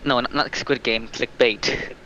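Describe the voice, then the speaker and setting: Bright-voiced man on a dull lo-fi call. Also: mid-range voice, fairly even intonation. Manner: halting, rambling. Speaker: livestream call guest. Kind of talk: casual chat, recounting a plot. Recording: dull lo-fi call, faint noise.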